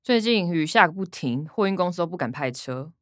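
A woman's voice: lowish voice, expressive, a little fast, a bit bright, no room sound, studio quality. A woman playing an exasperated, nagging elder sister with a Taiwanese accent in a radio drama, curt and reproachful.

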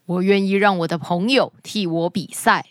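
A woman's voice: lowish voice, normal speed, no echo, studio quality, voice pushed down, lightly acted. A woman voicing a retired soldier in a fairy tale, an earnest leader urging on his team.

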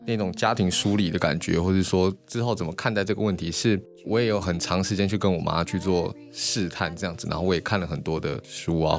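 A man with a Taiwanese Mandarin accent sharing personal stories in a casual, earnest, loosely linked way. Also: low-pitched.

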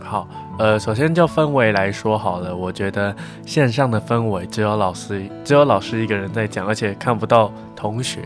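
Lowish-voiced young man in an interview. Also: moderate pace, few pauses, music in the background. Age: young adult. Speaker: student interview guest. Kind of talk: casual interview chat.

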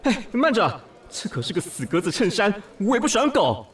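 Melodramatic, fussy city snob, wildly swooping. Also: male voice, high voice, very animated, fairly quick, over music. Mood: musing, smitten. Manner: melodramatic, sarcastic, swinging from muttering to shrieking. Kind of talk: radio drama acting with inner monologue.

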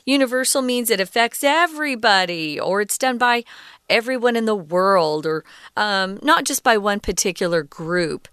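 Woman, English teacher, clear smooth voice, dry close sound, patient explanations. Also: mid-range voice, fairly bright tone, dry recording. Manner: patient, defines words step by step; frequent ums and uhs. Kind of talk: explaining a reading passage.

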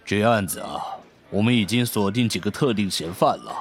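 A man's voice: fairly low pitch, moderate pace, breathy edge. A man playing a shrewd, composed senior police captain in a radio drama. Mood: composed, businesslike.

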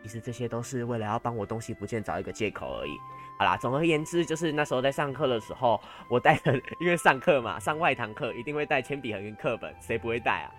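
A man's voice: mid-range voice, expressive, fast, fairly bright tone, soft background music. Young Taiwanese man telling stories playfully. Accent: Taiwanese Mandarin. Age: teens to young adult, high school senior. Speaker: student podcast host. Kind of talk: casual storytelling chat.

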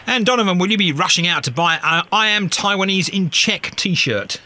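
A man hosting a radio news discussion show from a studio, presenting topics in a brisk, upbeat way. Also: high-pitched, animated, pushed and bright.

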